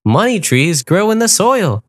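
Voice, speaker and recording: A man voicing a kind young female flamingo in a raised, gentle voice for a storybook. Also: sits high, sing-song, measured pace, dry recording, clean studio sound, pitched above the performer's own voice, exaggerated voice. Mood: encouraging.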